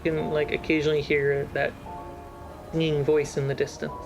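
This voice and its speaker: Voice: mid-range voice, level tone, moderate pace, creaky, music in the background, low-quality recording. A game master narrating a tabletop role-playing game in casual, descriptive phrases.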